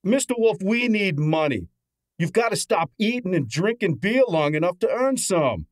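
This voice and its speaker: An actor playing a cheeky, exasperated detective's assistant in a radio drama, insistent and wisecracking. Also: male voice, high voice, very animated, moderate pace, dry recording, clean studio sound. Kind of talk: old-time radio drama acting.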